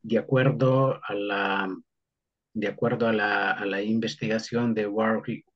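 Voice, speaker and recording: Hombre de voz grave y ronca. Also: male voice, fairly low pitch, steady tone, muffled recording. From Honduras. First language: Garifuna. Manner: halting, many fillers and restarts. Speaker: guest historian. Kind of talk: interview answer, historical account.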